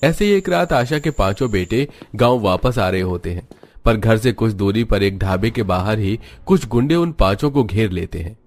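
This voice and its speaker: A man's voice: fairly low pitch, lively intonation, normal speed, darkish tone, slight background noise. A man telling a scripted moral story as its narrator.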